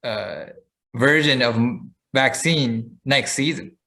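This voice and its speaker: A man's voice: medium pitch, takes it slowly, vocal fry, sharp tone. A man co-hosting a podcast, chatting casually and telling a long story with frequent fillers and restarts. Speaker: podcast co-host. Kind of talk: casual chat.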